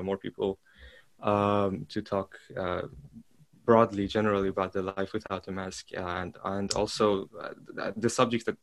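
A man from Iran, a podcast guest who speaks haltingly, searching for words and restarting often. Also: fairly low pitch. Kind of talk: casual interview talk.

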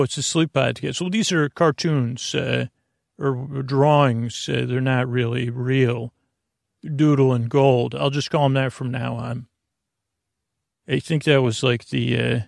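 Gravelly, creaky man rambling for bedtime. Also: mid-range voice, no echo, clean studio sound. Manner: meandering, halting, self-interrupting tangents; whimsical, self-deprecating humour. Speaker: podcast host. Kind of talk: rambling bedtime sleep podcast monologue.

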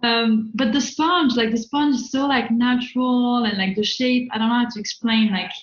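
A woman's voice: on the high side, steady tone, moderate pace. Effusive woman host in a roomy space. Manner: effusive, informal. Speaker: podcast host. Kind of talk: casual interview chat with product review. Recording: roomy, slightly dull sound.

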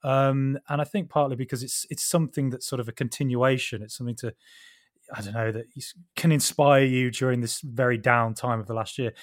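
A man hosts a podcast, putting questions to his guest in a measured, casual tone. His pitch is ordinary for a man. The sound is dry and close. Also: fairly even intonation, dry recording. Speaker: podcast host.

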